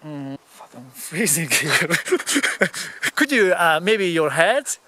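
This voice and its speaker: Slow, laid-back Hungarian man swearing casually. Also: a little high, sing-song, pushed and bright. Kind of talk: casual vlog chat in English. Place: outdoors in the cold.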